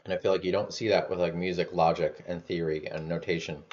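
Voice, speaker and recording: A man with a very low voice muses out loud in a relaxed, rambling flow, packing his talk with fillers and a casual curse now and then. The sound is dull and lo-fi. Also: quick pace. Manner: loose, filler-heavy, swears casually. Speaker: vlogger.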